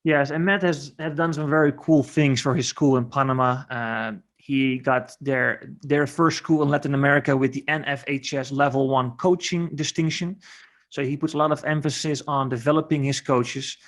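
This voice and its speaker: Male co-host, medium pitch, upbeat informal intro. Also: steady tone, moderate pace, a bit bright. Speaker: podcast co-host. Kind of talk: podcast introduction.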